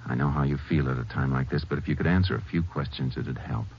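An actor playing a determined, plain-spoken Texas Ranger in 1950s radio drama style, terse, grave and courteous. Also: male voice, a bass voice, fast, creaky. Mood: grave, gentle. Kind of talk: 1950s radio western acting and narration. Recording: muffled, noisy 1950s radio recording.

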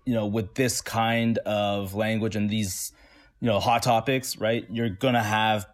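Low-voiced man chatting with fillers. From Toronto, Canada. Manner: relaxed, many fillers and restarts. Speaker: interview guest. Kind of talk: conversational interview answers.

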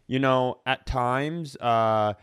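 Man, medium pitch, casual podcast storyteller, rambling, self-mocking, sweary, very dry close sound. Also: extremely slow, a bit bright, clean tone, dry recording. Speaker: comedy podcast co-host.

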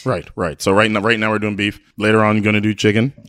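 A man's voice: lowish voice, little pitch movement, fast, a bit bright, clear, resonant tone, dry recording, clean studio sound. A man hosting a radio show in a casual, conversational interview style.

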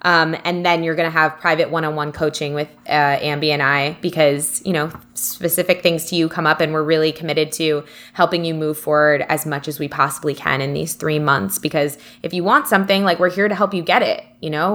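A woman's voice: sits low, fairly even intonation, brisk, hardly any pauses, a bit bright. A woman co-hosting a podcast in casual, candid conversation, speaking informally and often saying "like.". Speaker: podcast co-host.

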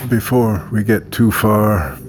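A man playing a smug, predatory wealthy tycoon in an audio drama, suave, unhurried and commanding. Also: fairly low pitch, a little hiss or hum. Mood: suave, seductive.

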